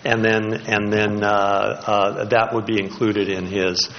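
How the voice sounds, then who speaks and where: A man with a fairly low voice gives informal answers at a public meeting. He talks haltingly, often doubling back on words. The recording is muffled, with a light layer of noise. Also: level tone.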